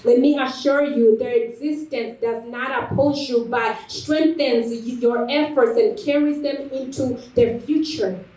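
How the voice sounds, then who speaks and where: A woman delivering a rhetorical spoken word speech in an emphatic, deliberate manner. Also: high voice, steady tone, darkish tone. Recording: echoey room, distant microphone.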